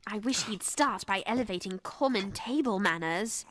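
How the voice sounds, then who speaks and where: A woman's voice: medium pitch, lively intonation, normal speed. A woman voicing a proper, earnest teenage girl in a cartoon, anxious and loyal to her king. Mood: disapproving. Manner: prim, earnest. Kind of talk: animated cartoon voice acting. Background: loud score music.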